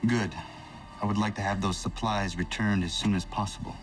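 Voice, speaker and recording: An actor playing an earnest young assassin in a video game, solemn, formal and blunt. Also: male voice, lowish voice, steady tone, moderate pace, recorded from a distance, over music, lightly acted. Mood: guarded. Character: earnest young male assassin, stern and defiant. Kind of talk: video game cutscene acting.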